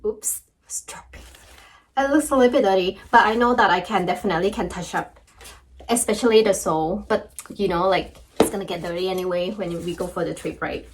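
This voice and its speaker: A woman's voice: medium pitch, fairly quick, slight background noise. A young woman with a Thai accent, teaching her language in a cheerful, chatty video lesson and often laughing. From Thailand.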